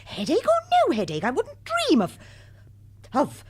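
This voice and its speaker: An actress playing a testy, respectable British wife in a radio comedy drama, speaking in an arch, precise, wry manner. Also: female voice, sits high, huge pitch swings, a little slow, a little mellow, exaggerated voice. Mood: outraged, haughty. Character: testy, respectable, long-married middle-class wife.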